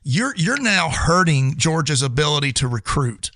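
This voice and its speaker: A man's voice: a little high, big pitch swings, normal speed, bright, forceful tone, slight background noise. An American man co-hosting a sports talk show, giving casual, opinionated commentary in an emphatic way.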